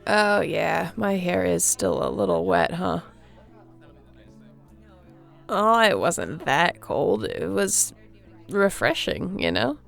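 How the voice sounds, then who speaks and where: A woman playing a friendly, easygoing young surfer girl in an audio roleplay, chatting casually and welcomingly with a creaky voice and laid-back slang. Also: lowish voice, sing-song, slow, pauses often, soft background music, full character voice. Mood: cheerful, welcoming.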